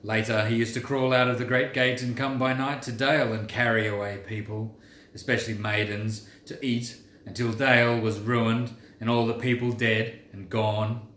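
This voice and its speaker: A proud old dwarf king, voiced by a man reading aloud, speaks with a deep voice and a slow, weighty cadence. His pitch sits mid-range for a man. The recording is roomy, with a faint hiss of background noise. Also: little pitch movement, fairly bright tone, close to an everyday voice. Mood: grim.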